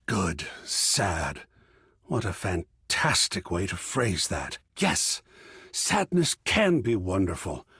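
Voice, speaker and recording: A man's voice: low voice, sing-song, takes it slowly, fairly bright tone, husky, dry recording, clean studio sound, exaggerated voice. An actor voicing an effusive, grandiloquent art connoisseur in a video game, savoring his words with dramatic flair. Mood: pensive, moody. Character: effusive, theatrical art connoisseur, a living piece of artwork. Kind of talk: theatrical game character dialogue.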